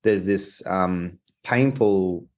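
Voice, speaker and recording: Low-voiced man, rambling. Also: extremely slow, darkish tone. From Australia. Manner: rambling, many restarts and fillers. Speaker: documentary co-director. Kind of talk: casual interview answers.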